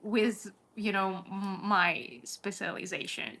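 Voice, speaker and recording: A young woman from Russia, a native Russian speaker with a light Russian accent, answering as an interview guest, candid and quick to laugh. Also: mid-range voice, sharp tone. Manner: laughs easily, candid and short-spoken. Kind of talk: casual interview conversation.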